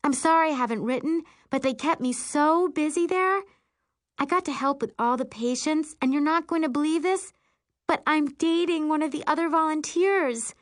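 A woman's voice: mid-range voice, unhurried, hardly any pauses, a bit bright, no echo, clean studio sound, pitched above the performer's own voice, close to an everyday voice. A woman reading a novel as a chatty, gushing teenage girl.

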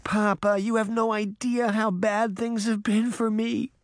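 A man's voice: sits high, little pitch movement, unhurried, dry recording, studio quality, pitched above the performer's own voice. A man voicing a whiny, melodramatic puppet-turned-boy who pleads and pities himself, in a storybook reading. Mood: self-pitying wailing.

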